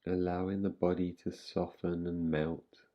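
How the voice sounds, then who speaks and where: A man guiding a relaxation meditation in an unhurried, soothing way, giving gentle instructions with long pauses. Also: deep voice, level tone, lo-fi sound. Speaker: meditation guide. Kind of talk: guided relaxation meditation, yoga nidra.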